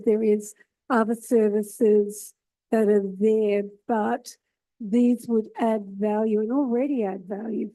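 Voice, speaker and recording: A woman's voice: fairly high pitch, dark tone, low-quality recording. A woman from Australia, a councillor speaking in a council meeting debate, with a groggy voice and an earnest, hesitant manner.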